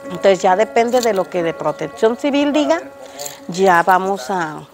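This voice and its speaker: An older Mexican woman, speaking outdoors beside her house gate, answers a street interview in a gravelly voice of middling pitch. She talks haltingly, restarting her phrases often, with faint music under her voice. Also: lively intonation. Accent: Mexican Spanish. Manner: halting, hesitant, many restarts. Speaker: interviewed resident.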